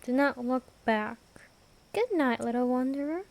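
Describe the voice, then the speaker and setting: Ancient vampire queen, played by a woman, ASMR audio drama: high voice, very slow, aloof, darkly protective, regal formality. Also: vocal fry, a little mellow. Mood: reluctantly protective. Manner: measured, formal, imperious. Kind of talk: ASMR roleplay, scripted fantasy monologue.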